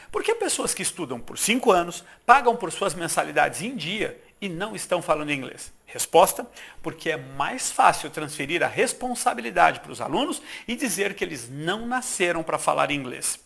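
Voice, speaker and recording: A middle-aged Brazilian man narrating a persuasive sales pitch for his course, emphatic and full of rhetorical questions. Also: gravelly, a bit bright, slightly rough. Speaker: course founder pitching an English course.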